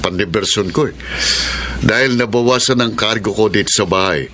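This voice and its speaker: A man's voice: on the low side, moderate pace, sharp tone, a little hiss or hum. An actor playing a brutal, greedy stepfather, mocking and bullying, with a Filipino accent in radio drama style. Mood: scheming.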